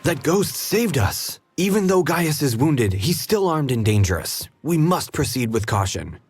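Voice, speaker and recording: An actor playing a composed, earnest detective in an adventure game, courteous and deliberate as he guides his partner. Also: a little high, very animated, soft background music. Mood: relieved, urgent. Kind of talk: scripted adventure game dialogue.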